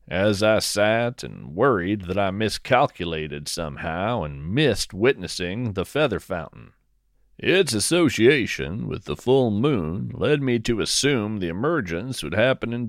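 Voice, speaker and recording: Deep-voiced, unhurried male storyteller. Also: dry recording, clean studio sound. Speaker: podcast host and narrator. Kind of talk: scripted first-person fiction narration.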